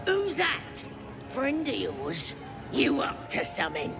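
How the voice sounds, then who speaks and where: A woman's voice: high voice, huge pitch swings, slow, vocal fry, over music, full character voice. An actress voicing a mocking, suspicious goblin guard woman.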